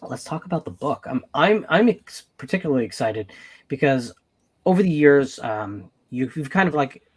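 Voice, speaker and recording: A man's voice: medium pitch, sing-song, moderate pace, breathy edge. A man hosting a casual live-stream chat, congested from a head cold and often restarting his sentences. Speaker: podcast host.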